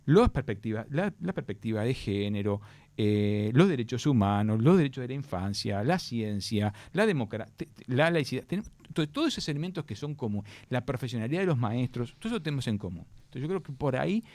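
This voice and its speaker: A man's voice: medium pitch, big pitch swings, fairly quick, a little mellow, no echo. A middle-aged man with a Uruguayan accent, a guest on a radio talk show, explaining education policy earnestly with frequent restarts. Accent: Uruguayan Spanish. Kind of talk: radio interview answers.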